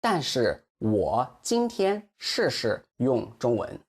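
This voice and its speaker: A man with a Chinese accent, a native Chinese teacher explaining pronunciation in a lesson, carefully modeling words slowly and stretching syllables. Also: mid-range voice, huge pitch swings, gravelly, husky. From mainland China.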